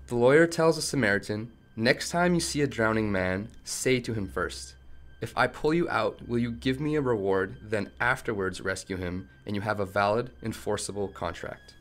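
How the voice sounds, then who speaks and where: A man's voice: lowish voice, normal speed, a bit bright, natural voice. A man playing a principled, defiant tech company chief held captive in a radio play, arguing firmly and articulately. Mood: measured, moralizing. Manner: firm, argumentative, articulate.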